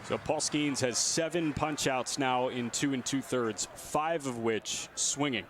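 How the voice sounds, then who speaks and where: A man's voice: mid-range voice, a little slow, fairly bright tone, slight background noise. A man doing live radio play-by-play commentary of a baseball game. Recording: outdoor stadium ambience behind voice. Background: ballpark ambience.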